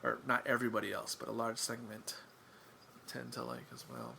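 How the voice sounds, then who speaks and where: A man's voice: medium pitch, very animated, moderate pace, creaky, sharp tone, slight background noise. A man chatting casually as a podcast guest, wry and self-deprecating, rambling with lots of fillers. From England.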